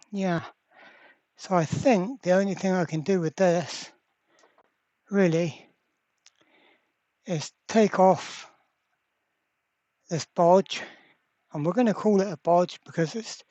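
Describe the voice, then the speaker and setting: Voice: mid-range voice, a little mellow, no echo. A presenter giving casual hands-on workbench commentary, thinking aloud in a hesitant way. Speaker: repair video presenter. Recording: dull, muffled lo-fi sound.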